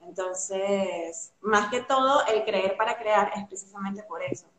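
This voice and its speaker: Brief: young Venezuelan woman, lively, chatty interview guest, medium pitch, roomy echo. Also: a little slow, noisy background. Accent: Venezuelan Spanish. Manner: chatty and animated, many fillers, laughs easily. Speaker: guest artist. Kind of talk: casual interview chat.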